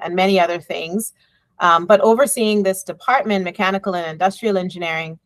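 A woman's voice: lowish voice, fairly bright tone, dry recording. A middle-aged woman from the Bahamas, an engineering professor and department chair, giving a reflective conference talk over a video call from her office, with frequent ums and you knows. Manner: reflective, measured, many fillers like um and you know. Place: office with bookshelves and window. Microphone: video call, laptop webcam.

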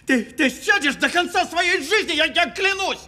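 An actor playing an insecure, flustered police officer who threatens defensively and stammers in an audio drama. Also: male voice, extremely high pitch, animated, normal speed, pushed and bright, exaggerated voice. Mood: panicked, furious. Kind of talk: naturalistic audio film drama acting.

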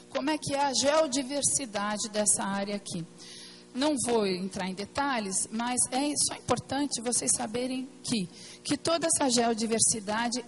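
Hoarse São woman presenting. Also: on the high side, rough recording. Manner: hesitant, many fillers and restarts. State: hoarse, partly lost voice. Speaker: invited presenter at an environmental council meeting. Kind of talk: technical presentation with slides. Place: meeting room at a plenary session.

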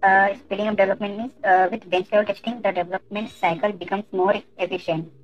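A woman presenting an explanatory tutorial in a halting way, often repeating words and phrases as she goes. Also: medium pitch, level tone, dry recording, dull audio, faint music behind. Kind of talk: explanatory screen-share tutorial.

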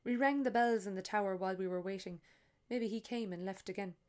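A woman's voice: medium pitch, fairly quick, darkish tone, natural voice. A woman reading aloud voices polite German tourists with a German accent and a careful, courteous manner.

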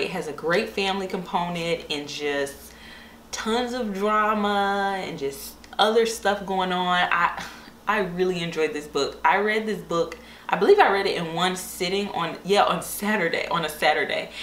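Echoey indoor recording, young woman, somewhat low voice, casual vlog chat, informal, enthusiastic. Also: fairly bright tone. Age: young adult. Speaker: book vlogger. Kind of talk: casual book chat to camera. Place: small bare-walled room indoors. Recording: echoey room with faint background hiss.

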